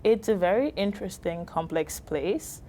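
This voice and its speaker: A woman's voice: fairly low pitch, animated, moderate pace, mellow, a little hiss or hum. A young woman from Nigeria, a student guest in an interview, answering in a composed, articulate and dryly witty way. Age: young adult.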